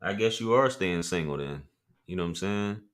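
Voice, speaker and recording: A man with a deep voice hosting a casual livestream, reading chat comments and talking loosely with profanity and laughing asides. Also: lively intonation, moderate pace, fairly bright tone.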